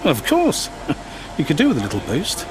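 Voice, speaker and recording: A man's voice: a little high, wildly swooping pitch, moderate pace, poor audio. A man voicing a breezy team boss chatting with his driver. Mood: jovial. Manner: jovial, breezy. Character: jovial middle-aged male team boss.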